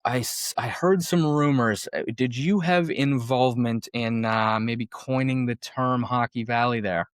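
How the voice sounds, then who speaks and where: A man's voice: mid-range voice, fairly even intonation, a little slow, hardly any pauses, clear, resonant tone, no echo, studio quality. A man hosting a podcast interview, enthusiastic and affirming as he talks with his guest. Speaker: podcast host. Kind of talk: casual interview chat.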